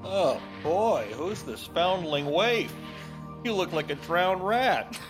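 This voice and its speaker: Wry, high-voiced, slow-talking teaser. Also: male voice, lively intonation, over music, rough recording. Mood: teasing. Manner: wry, jokey. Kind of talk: audio fiction drama acting.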